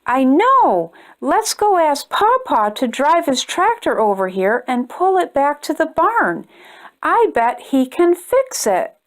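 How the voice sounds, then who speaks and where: Lady doing excited little kid girl from a storybook, high voice, all bouncy and eager. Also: slow pace, heavily acted. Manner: eager, exclaiming.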